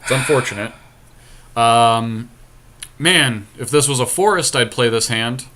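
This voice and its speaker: Man, bright forceful voice, mid pitch, casual game commentary, informal podcast co-host. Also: unhurried, soft background music.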